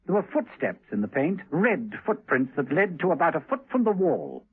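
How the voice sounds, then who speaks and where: A man's voice: a little high, big pitch swings, moderate pace, breathy edge. A man narrating a 1970s radio drama in an urgent, theatrical storytelling style. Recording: narrow 1970s radio recording with music.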